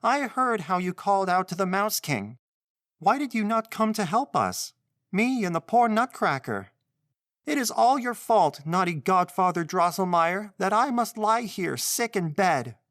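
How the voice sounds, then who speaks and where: A man putting on a little girl's voice, pitched far above his own, high and pleading as she scolds her godfather from her sickbed. The sound is close and dry, as in a storybook read aloud. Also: sing-song, unhurried, fairly bright tone, dry recording, heavily acted. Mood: reproachful. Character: sick little girl, upset and reproachful.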